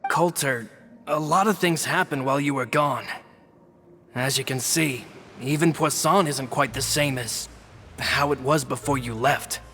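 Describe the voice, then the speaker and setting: A man's voice: mid-range voice, unhurried, bright, forceful tone. An actor playing a courteous, sympathetic male gang comrade in an English video game dub. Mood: somber sympathy. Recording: reverberant, with faint music underneath.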